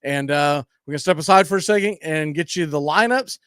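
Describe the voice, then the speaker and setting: Higher-voiced man talking baseball, dry close sound. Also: a little fast, fairly bright tone, smooth, no echo. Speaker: sports radio host. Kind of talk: baseball pregame commentary.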